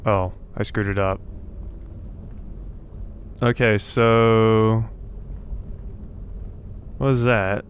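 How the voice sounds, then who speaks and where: A man's voice: fairly low pitch, steady tone, extremely slow, pauses often, slight background noise. A man doing casual gameplay commentary, muttering to himself as he thinks aloud in a self-deprecating way. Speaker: let's play host.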